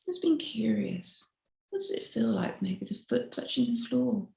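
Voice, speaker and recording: A woman mindfulness teacher leading a slow guided meditation in a gentle, unhurried, instructive way. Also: on the high side, big pitch swings, vocal fry. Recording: roomy, echoey sound.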